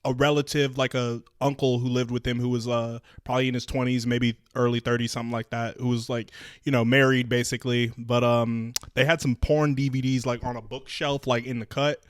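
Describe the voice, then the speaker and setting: A male podcast host with a mid-pitched voice. He talks casually and conversationally, like he is swapping stories with guests, and gets animated as he goes. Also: fairly quick, clear, resonant tone, dry recording, studio quality. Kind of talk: casual conversational storytelling and interviewing.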